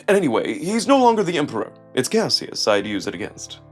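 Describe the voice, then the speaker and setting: A man's voice: fairly high pitch, very animated, quick pace, faint music behind, voice pushed up. A man playing a sly, smug male jackal schemer with haughty, precise, theatrical speech.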